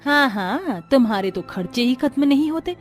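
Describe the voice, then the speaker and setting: A woman's voice: high-pitched, lively intonation, normal speed, soft background music. A woman playing a mean, stingy young sister-in-law who taunts and scolds in a cartoon moral story. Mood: scornful. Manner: taunting, scornful.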